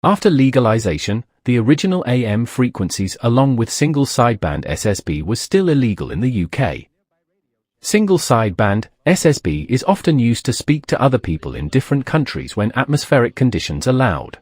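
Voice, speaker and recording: Male narrator, scripted documentary delivery, husky voice, animated pitch, dry close recording. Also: a little fast, dry recording.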